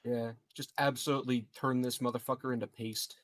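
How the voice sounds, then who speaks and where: A man's voice: mid-range voice, level tone, a little fast. Regular guy playing a tabletop rpg, mid voice, just chatting, no room echo. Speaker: tabletop game player. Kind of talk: casual chat during a game.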